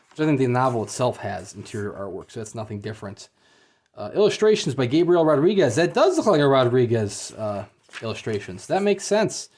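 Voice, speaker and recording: A man talks through an unboxing in a casual, unscripted way. His delivery is understated and a little hesitant, with a mildly let-down tone. His voice sits at an average pitch. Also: darkish tone. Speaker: book unboxing reviewer. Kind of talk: casual unscripted product review.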